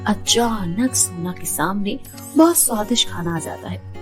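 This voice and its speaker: A woman narrating a children's cartoon story with an expressive storytelling voice. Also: medium pitch, measured pace, faint music behind.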